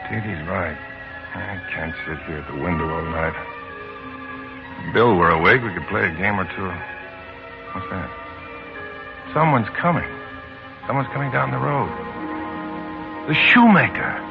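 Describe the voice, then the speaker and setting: A man's voice: sits low, expressive, measured pace, vocal fry, pauses often, heavily acted. An actor playing an earnest, insistent, plain-spoken man in a 1940s radio play, with an emphatic theatrical delivery. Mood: musing. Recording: dull lo-fi 1940s radio, faint music.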